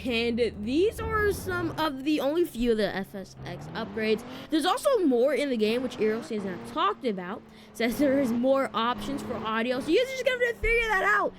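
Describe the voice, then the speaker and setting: Animated high-voiced woman presenting a game. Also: moderate pace, few pauses, noisy background. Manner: animated, informal, addresses viewers directly. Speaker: video game streamer and presenter. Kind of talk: casual gameplay walkthrough commentary.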